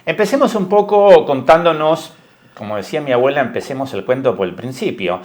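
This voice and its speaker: A man's voice: mid-range voice, lively intonation, a little fast, darkish tone. A man hosting an interview podcast and asking questions in a polite, welcoming way. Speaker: podcast host and documentary director.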